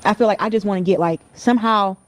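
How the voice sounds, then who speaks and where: A woman's voice: mid-range voice, fast talker. A woman talking casually in an interview, rambling a little as she searches for her words.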